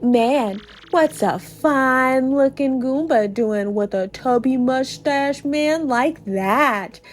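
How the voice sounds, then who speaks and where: A woman's voice: sits high, slow. A woman voicing a swaggering young male creature hoodlum from a video game, with cocky, slangy talk. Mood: sneering.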